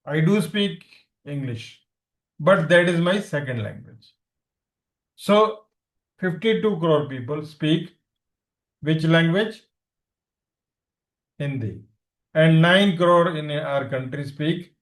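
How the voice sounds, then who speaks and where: A man's voice: on the high side, animated, takes it slowly, pauses often. Indian man teaching, echoey room. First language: Punjabi. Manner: explanatory, asks students questions, mixes Hindi and English. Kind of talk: online class lecture.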